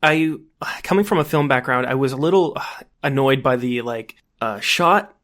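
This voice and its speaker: A man co-hosting a podcast, chatting casually in a halting way and often repeating words as he goes. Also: mid-range voice, animated, a bit bright. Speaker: podcast co-host. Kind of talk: casual chat.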